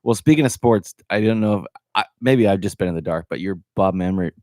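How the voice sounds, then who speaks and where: A man co-hosting a podcast in casual chat, tossing in deadpan one-liners. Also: low-pitched, fast, dry recording, studio quality. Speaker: podcast co-host.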